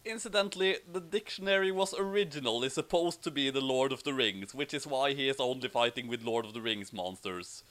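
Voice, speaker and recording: A man's voice: mid-range voice, fairly quick, hardly any pauses, fairly bright tone. A man giving casual, conversational commentary as the author of a story.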